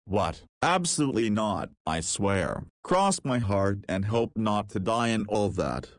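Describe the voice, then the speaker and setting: A man's voice: lowish voice, expressive, a little slow. A man voicing a cheeky, easygoing nineteen-year-old in a visual novel, with casual, slangy speech. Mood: earnest. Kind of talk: visual novel character dialogue.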